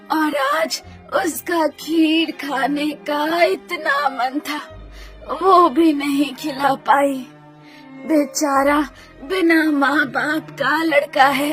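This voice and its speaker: A poor, kindhearted old village grandmother acted by a woman speaks in a high, drawn-out, emotional voice. It is a children's storybook character, full of sorrow and wonder, with music underneath. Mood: tearful self-pity. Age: older. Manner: slow, emotional, drawn-out delivery.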